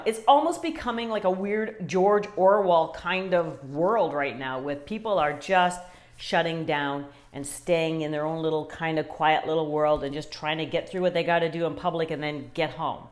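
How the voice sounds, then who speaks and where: Lively, chatty woman vlogger. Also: fairly low pitch. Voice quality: loud voice. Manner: chatty, animated, talks straight to viewers with questions. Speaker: wellbeing coach and vlogger. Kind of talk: casual direct-to-camera talk.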